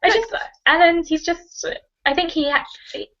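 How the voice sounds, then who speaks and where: A woman with a very high, bright voice chats casually about books. Her delivery is informal and giggly, and the sound is slightly dull, as on an internet call. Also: lively intonation, normal speed. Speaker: guest on book discussion live show. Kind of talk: casual book chat. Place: video call live stream.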